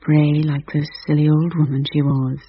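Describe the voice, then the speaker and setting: A man's voice: on the high side, little pitch movement, normal speed, husky, muffled recording. A man narrating a ghost story in a tart, grumbling inner-voice reading.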